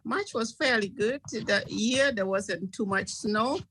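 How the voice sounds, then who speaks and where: A woman from South Sudan, telling her life story as a panelist over a video call in a measured, step-by-step way. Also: mid-range voice, fairly bright tone, slight background noise.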